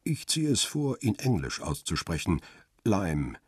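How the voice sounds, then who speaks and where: A man playing a cool, cynical paparazzo in a crime radio drama, speaking in a measured, deliberate and guarded way. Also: low-pitched, lively intonation, slightly rough, no room sound, clean studio sound, full character voice. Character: male paparazzo photographer, cool, cynical, guarded first-person narrator. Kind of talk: first-person crime radio drama narration and dialogue.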